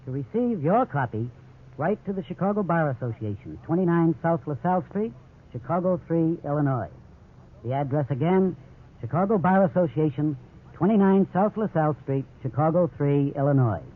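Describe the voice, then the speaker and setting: American male radio counselor, 1950s: fairly high, husky voice, formal scripted advice, narrow vintage broadcast sound. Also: expressive, normal speed. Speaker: law school dean, legal counselor on radio. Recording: tinny 1950s radio recording.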